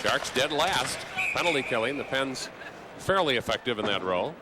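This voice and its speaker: A man doing live ice hockey play-by-play as the announcer, excitable on big plays. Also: mid-range voice, normal speed, heavy background noise.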